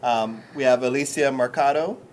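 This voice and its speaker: A man hosting a community panel discussion, speaking with many pauses and fillers. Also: medium pitch, slight background noise. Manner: hesitant, many ums and uhs. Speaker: host.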